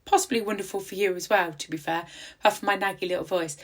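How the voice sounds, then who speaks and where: A tattoo artist with a croaky voice, chatting casually in a rambling voice-over with many ums, recorded outdoors in quiet countryside. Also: female voice, mid-range voice, fairly even intonation, fast talker.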